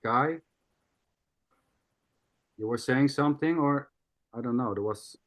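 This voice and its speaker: A man hosting a video call, calmly explaining nondual ideas in a teaching tone. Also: mid-range voice, takes it slowly. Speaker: host. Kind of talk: spiritual teaching talk.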